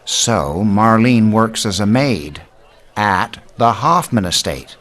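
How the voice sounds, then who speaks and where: An actor playing a wry, eager investigator's associate in a radio mystery. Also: male voice, lowish voice, lively intonation, slow pace, a bit bright. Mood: reporting, wry. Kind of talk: radio mystery drama acting.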